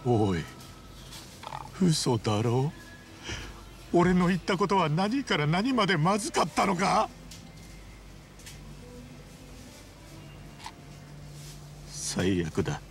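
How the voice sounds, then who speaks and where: High-pitched, emotional, rough-spoken earnest man. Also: wildly swooping pitch, takes it slowly, pauses often, exaggerated voice. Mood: despairing. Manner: rough, emotional, blunt street speech. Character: earnest, rough-spoken, flustered younger man, big-hearted hero. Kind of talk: dramatic Japanese video game voice acting. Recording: music behind the voice.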